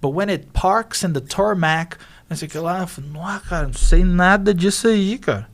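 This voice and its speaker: A young man who teaches English, a guest on a podcast, telling stories in animated, slangy casual chat. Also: high voice, moderate pace.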